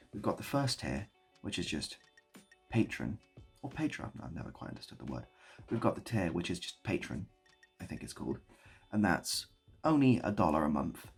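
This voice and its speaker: A man talking casually to camera in a rambling, self-deprecating way with deadpan whimsy. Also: low-pitched, creaky, slightly rough. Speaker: video creator and host. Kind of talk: casual improvised monologue to camera.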